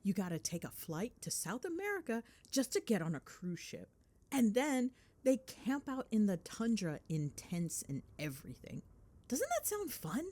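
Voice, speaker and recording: Young girlfriend played by a woman, ASMR roleplay call: excitable, playful, lively swooping intonation, affectionate teasing. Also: medium pitch, moderate pace. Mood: fond, chatty. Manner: playful, chatty, expressive, mildly sweary.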